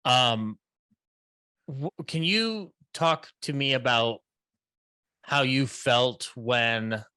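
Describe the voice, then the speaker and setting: A man asks questions in a casual interview style. He speaks politely and deliberately, often pausing to find words. The voice is mid-pitched and crisp-edged, recorded dry with little room around it. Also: sharp tone, no echo. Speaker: interviewer, convention panelist. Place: remote video call.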